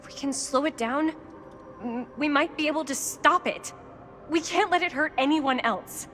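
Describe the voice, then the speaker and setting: A woman's voice: high voice, moderate pace, a bit bright, soft background music. An actress voicing an earnest, vulnerable and insistent young woman in a cinematic horror game, in a reverberant space. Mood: urgent.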